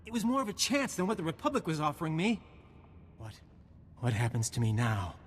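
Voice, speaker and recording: Game role: nervous turncoat soldier, animated and evasive, echoing hall, faltering delivery. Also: male voice, a little high, brisk. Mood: bitter, resigned. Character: nervous, cowardly male soldier turned traitor, pleading. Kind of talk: video game cutscene acting. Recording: reverberant game audio, faint noise.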